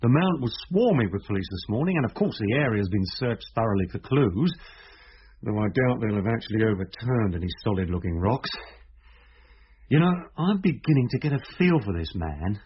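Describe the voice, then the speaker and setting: Sceptical police inspector, lively intonation. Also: male voice, lowish voice, husky. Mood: reasoning, confident. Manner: direct, authoritative, deliberate. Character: brisk, probing, sceptical male police inspector. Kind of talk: radio drama acting. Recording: dull, faintly noisy radio drama recording.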